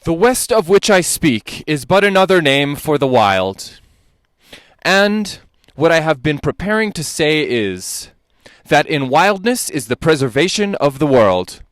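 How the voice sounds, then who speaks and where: A man performing a dramatic reading of an old lecture, with deliberate, emphatic, oratorical phrasing. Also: a little high, a bit bright, dry recording. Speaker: dramatic reader.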